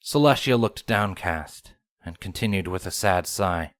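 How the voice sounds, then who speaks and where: A man reads scripted story narration in a low voice. The recording is close and dry, with no room sound around him. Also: animated, measured pace, no echo.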